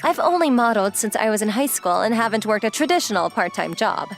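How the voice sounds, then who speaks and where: A woman's voice: on the high side, big pitch swings, fast. A woman playing a confident young model and student in an anime-style dub, teasing, forward and casually inquisitive. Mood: easygoing, explanatory. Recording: music behind the voice.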